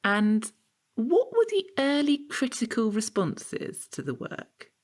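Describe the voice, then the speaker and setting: An actress playing a prim, austere art tutor in an audio comedy drama, clipped and schoolteacherly, with faint disapproval. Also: female voice, a little high, huge pitch swings, slow pace, exaggerated voice. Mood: patient, probing.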